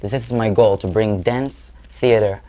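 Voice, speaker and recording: Guest, young man, twenties, Israeli accent, lowish and earnest. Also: steady tone, measured pace, warm tone. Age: 20s. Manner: earnest, searching for words. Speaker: guest musician. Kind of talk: interview answers.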